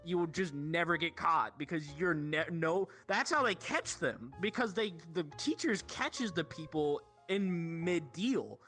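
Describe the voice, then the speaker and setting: A young man casually telling rambling stories to friends in a slangy, sweary, animated way. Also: fairly high pitch, unhurried, few pauses, faint music behind. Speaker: show host telling stories.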